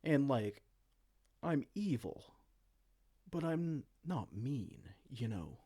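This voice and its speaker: A man playing a vain, silly, soft-hearted evil king in a comedic fantasy roleplay, pompous and theatrical but slipping into casual dorkiness. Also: mid-range voice, big pitch swings, extremely slow, husky, exaggerated voice. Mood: sheepish, casual. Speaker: audio roleplay performer.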